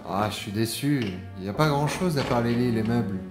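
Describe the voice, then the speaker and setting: An actor playing an enthusiastic, history-loving sidekick on an exploration in an audio drama, chatting in a casual, easygoing way. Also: male voice, medium pitch, brisk, lightly acted. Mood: fascinated. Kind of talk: French audio drama, naturalistic dialogue. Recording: roomy echo, music underneath.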